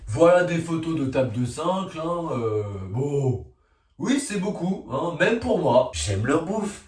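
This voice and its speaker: A young man giving a loud, sarcastic comic monologue full of slang and fillers. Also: medium pitch. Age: young adult. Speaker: video creator. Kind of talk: comedic monologue vlog. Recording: roomy echo, a little far from the mic.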